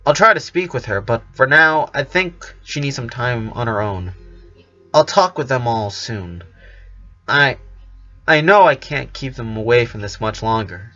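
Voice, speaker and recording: A man's voice: medium pitch, expressive, unhurried, bright, forceful tone. An earnest, guarded young boy in a narrated story, read by a man. He speaks with hesitation. The sound is dull and lo-fi. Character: earnest, guarded eleven-year-old little brother.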